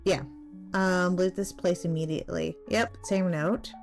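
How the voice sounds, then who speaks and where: Slow, low-pitched woman streaming a game. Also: fairly even intonation, vocal fry, soft background music. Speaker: gaming streamer playing a visual novel. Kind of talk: casual let's-play, reading game text aloud.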